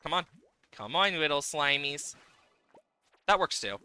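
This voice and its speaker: A man's voice: fairly high pitch, animated, measured pace, sharp tone, clear, resonant tone. A man giving casual livestream game commentary, playfully exasperated, swearing and exclaiming at the game as he plays.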